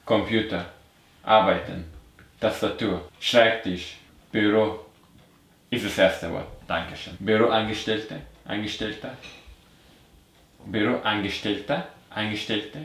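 A man's voice: on the low side, fairly even intonation, slow pace, long pauses, a bit bright. A man in his twenties bantering casually during a game. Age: 20s. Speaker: player in a charades game. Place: small bedroom, indoors. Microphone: distant camera microphone. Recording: echoey room.

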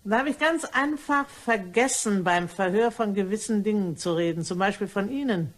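A woman's voice: medium pitch, normal speed, lightly acted. A woman playing a sly, chatty cleaning lady turned blackmailer in a crime radio play, ingratiating and mock-innocent in manner. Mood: sly, insinuating.

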